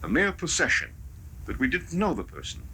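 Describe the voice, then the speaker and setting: A man's voice: mid-range voice, huge pitch swings, a little fast, bright, forceful tone, exaggerated voice. A man playing a grave, protective doctor in a 1970s radio drama, with an earnest, emphatic manner. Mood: firm, cautioning. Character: grave, protective doctor guarding his patient's secret. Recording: noisy 1970s live radio tape.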